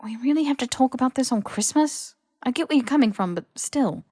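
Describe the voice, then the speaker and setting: A woman's voice: high-pitched, lively intonation, brisk, dark tone, breathy edge, dry recording, studio quality, voice pushed up. A woman voicing a hesitant, defensive but well-meaning young British man in an audiobook story. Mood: defensive, weary. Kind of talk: audiobook character dialogue.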